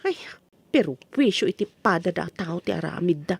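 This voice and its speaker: Husky, fretful Ilocano farmer's wife, woman acting. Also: lowish voice, lively intonation, moderate pace, no echo, exaggerated voice. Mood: indignant. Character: fretful, grumbling farmer's wife, practical and indignant. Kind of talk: comic radio drama acting.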